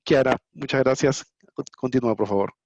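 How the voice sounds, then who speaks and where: A man moderates a webinar, thanking speakers and introducing the next one in a courteous, halting way. The video call audio is dull and dry. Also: medium pitch, darkish tone, no echo.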